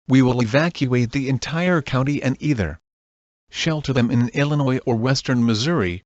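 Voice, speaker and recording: A man performs a solemn male newsreader for a fictional emergency broadcast. The delivery is formal and grave, reading out warnings. The voice sits at an average male pitch in a dry, close sound. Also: no room sound, lo-fi sound, close to an everyday voice. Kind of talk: scripted emergency broadcast narration.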